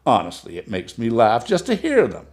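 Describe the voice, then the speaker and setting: A man's voice: mid-range voice, wildly swooping pitch, normal speed, a little mellow. A man reading a short story aloud, voicing a chatty, gushing society woman who talks in a breathless stream and keeps praising herself.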